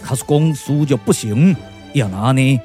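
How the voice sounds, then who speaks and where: A man with a Taiwanese accent playing a solemn, resolute strategist and sorcerer in a puppet-theatre radio drama, speaking gravely. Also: medium pitch, dark tone, faint music behind, pitched below the performer's own voice, lightly acted. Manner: grave, measured incantation.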